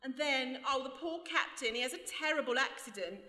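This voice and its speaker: A woman's voice: high voice, animated, fairly quick, pushed and bright. A woman playing a ruthless, cocky criminal mastermind in a radio play, breezy and mocking. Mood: gleeful scheming. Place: stage before a live audience. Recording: echoey live hall.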